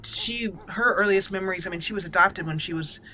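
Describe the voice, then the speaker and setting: A woman's voice: medium pitch, a little fast, sharp tone, low-quality recording. A woman hosting a roleplay let's play of a fantasy game, thinking aloud as she muses over her choices. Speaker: gaming channel host and player.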